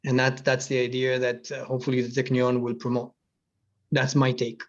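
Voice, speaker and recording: A man's voice: mid-range voice, monotone, normal speed. A man with an Israeli accent speaking on a panel over a video call, thinking aloud with many fillers. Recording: muffled video call audio.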